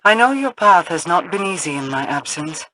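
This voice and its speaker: A woman playing a solemn, imperious queen in a video game, speaking in formal, measured phrases. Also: lowish voice, sing-song, sharp tone, a little hiss or hum, rough recording. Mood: regal, solemn. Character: regal, solemn, imperious queen with mystical powers. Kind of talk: video game character acting.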